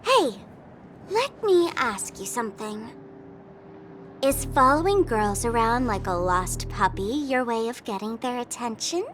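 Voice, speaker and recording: A woman's voice: on the high side, lively intonation, a little slow, a bit bright, faint music behind. A woman playing a sly, proud young female trickster in a video game, teasing and scornful in a theatrical way. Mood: annoyed, teasing. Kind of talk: English video game voice acting.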